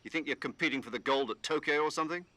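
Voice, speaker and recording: A commanding officer, fast talker, bright sharp tone, dry close sound. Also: male voice, on the high side, no echo. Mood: brisk. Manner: brisk, lecturing. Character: brisk male commanding officer on the radio. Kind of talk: video game cutscene acting.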